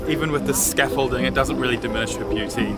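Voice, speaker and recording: A man's voice: medium pitch, fast talker. A man chatting casually as a travel vlogger, effusive and playfully florid in his phrasing. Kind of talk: casual travel vlog chat.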